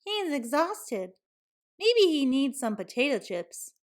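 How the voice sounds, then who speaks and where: A woman's voice: high voice, sing-song, a little slow, voice pushed up. A woman voicing small, naive storybook pigs in a chirpy, singsong manner. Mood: kindly.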